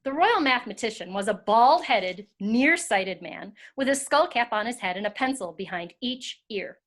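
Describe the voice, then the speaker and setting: A woman's voice: fairly high pitch, expressive, normal speed, a bit bright. A woman narrating a storybook as part of a scripted readers theater.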